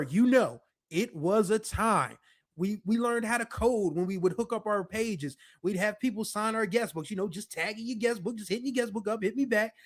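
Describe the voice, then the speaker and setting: Chatty man in his forties, high pitch. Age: 40s. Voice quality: deeper voice. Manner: relaxed, rambling, with frequent fillers. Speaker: podcast host. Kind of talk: casual nostalgic monologue.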